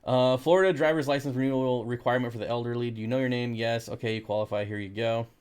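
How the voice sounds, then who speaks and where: A man's voice: mid-range voice, steady tone, quick pace, a little mellow, clean tone. An American man hosting a casual livestream, talking in a laid-back, jokey way as he reads and answers comments. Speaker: livestream host reading and answering viewer comments.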